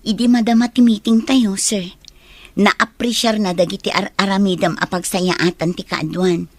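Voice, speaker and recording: A woman's voice: mid-range voice, fairly even intonation, normal speed, no echo, close to an everyday voice. A woman playing a friendly, loyal and chatty female teacher in an audio drama. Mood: concerned. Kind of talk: Ilocano audio drama acting.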